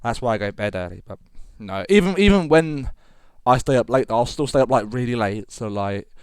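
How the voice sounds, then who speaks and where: A man's voice: mid-range voice, animated, a little fast, clear, resonant tone, dry recording, studio quality. A man born in Britain, rambling through a casual podcast chat with lots of fillers and swearing. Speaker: podcast co-host.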